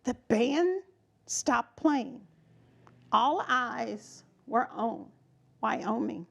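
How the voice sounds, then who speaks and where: A woman reads a children's story aloud expressively, with emphatic delivery, dramatic pauses and chatty asides to her young listeners. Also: a little high, lively intonation, vocal fry, fairly bright tone.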